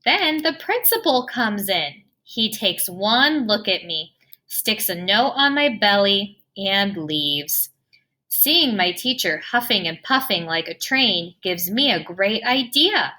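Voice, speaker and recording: Bright, animated woman reading a picture book. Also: mid-range voice, slow pace. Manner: expressive, playful sound words. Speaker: storybook reader. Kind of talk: children's picture book read-aloud.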